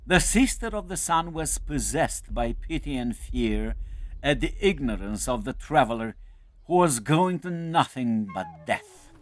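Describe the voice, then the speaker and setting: A man narrating a scripted children's fairy tale in a dramatic, deliberate storytelling manner. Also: mid-range voice, expressive. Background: music.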